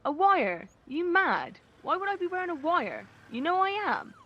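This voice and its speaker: A woman's voice: extremely high pitch, very animated, moderate pace, dull audio. A woman playing a brash, curt, secretive young underground informant in a dystopian audio drama. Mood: brash, urgent.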